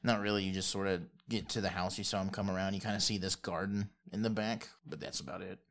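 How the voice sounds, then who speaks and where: Gravelly, low-voiced man running a tabletop game. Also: fairly even intonation, fast, fairly bright tone. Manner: colloquial, loosely descriptive. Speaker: game master. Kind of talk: casual improvised tabletop game narration.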